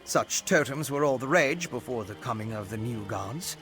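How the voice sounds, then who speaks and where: A man playing a curious, excitable, cheerfully condescending gentleman investigator in a video game, erudite and theatrical. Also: mid-range voice, normal speed, lightly acted. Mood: lecturing, curious. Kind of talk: video game character voice acting. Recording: game music behind.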